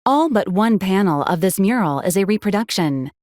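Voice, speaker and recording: A woman playing a polite female robot assistant in a video game, speaking in formal, procedural phrases with a deadpan, literal manner. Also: medium pitch, normal speed, no echo, studio quality, close to an everyday voice. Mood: dryly helpful. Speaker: robot companion giving mission advisories. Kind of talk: scripted video game character lines.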